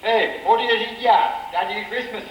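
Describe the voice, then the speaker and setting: A man's voice: sits high, a little fast, lightly acted. A man with an Irish accent playing a brash, bawdy widowed governess, scolding and wisecracking in a stage pantomime. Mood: indignant. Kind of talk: broad amateur stage pantomime acting. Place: village hall stage, live performance. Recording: echoey hall stage, distant mic, noisy.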